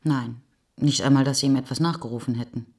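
A sober mother remembering the postwar years. Her voice is mellow and husky. She speaks with restraint and measured phrasing, as literary narration in a radio drama. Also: very deep voice, exaggerated voice. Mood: sober, restrained.

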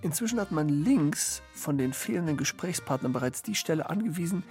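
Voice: mid-range voice, expressive, fairly quick, darkish tone, close to an everyday voice. A performer playing a dry, aloof, ironic commentator on society in a scripted literary radio play. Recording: music behind the voice.

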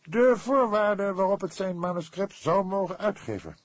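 A man's voice: high-pitched, normal speed, no room sound, voice pushed up. A man voicing a pompous, precise, easily indignant book publisher in an audiobook, speaking in an emphatic, formal, affected way.

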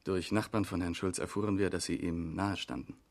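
Male investigator, radio crime play: lowish voice, polite, matter-of-fact, quietly probing. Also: steady tone. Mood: businesslike. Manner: formal, measured. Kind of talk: 1970s radio crime drama acting.

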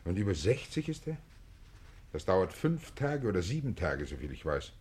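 A brusque country neighbour in a vintage radio drama, nosy but deferential to his betters. His voice is husky and fairly low. It rises and falls widely as he repeats his exclamations. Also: very animated, moderate pace, a little mellow, full character voice. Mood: curious, gloomy. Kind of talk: 1950s German radio play acting. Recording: 1950s radio play recording.

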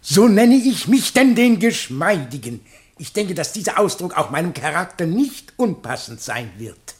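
An actor playing a self-pleased scholar in a German radio play, speaking in an affected, mannered way. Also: male voice, sits high, sing-song, normal speed. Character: self-pleased male magister in a literary society.

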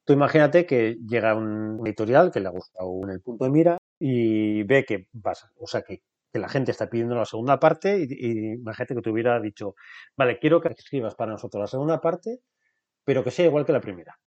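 A man's voice: medium pitch, expressive, fairly quick, darkish tone, dull audio. A man, co-hosting a writing podcast, talking in a conversational, hesitant way. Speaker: podcast co-host.

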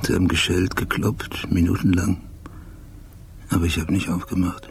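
An actor playing a brooding, obsessive police detective in a radio drama monologue, halting and intense, sometimes close to a whisper. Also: male voice, low voice, flat delivery, noisy background. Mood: grim, waiting. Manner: halting, sparse, intense, near whisper at times.

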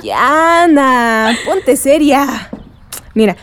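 Brief: keen young community organizer, high clear-cut role in radio drama, earnest and explanatory. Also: female voice, very slow, a bit bright, slight background noise, full character voice.

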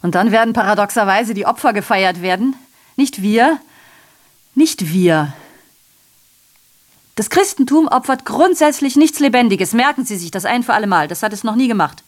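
A woman's voice: a little high, animated, normal speed, lightly acted. An actress playing a sardonic, aggrieved female messenger in a radio drama, delivering a digressive monologue full of rhetorical questions and self-corrections. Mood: bitter, insistent.

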